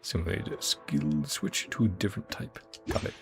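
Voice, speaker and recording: Very low-voiced woman gamer, gravelly. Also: lively intonation, moderate pace. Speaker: gaming streamer. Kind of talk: casual let's-play commentary, reading on-screen text aloud. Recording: game music under the voice. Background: video game music.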